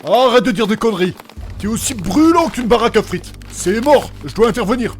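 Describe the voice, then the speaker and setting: Man as a high-voiced, cheeky field medic. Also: animated, brisk, faint music behind. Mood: exasperated. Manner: brash, teasing, punning. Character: cheeky, blunt male field medic, teasing and bossy. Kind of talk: comic audio drama acting.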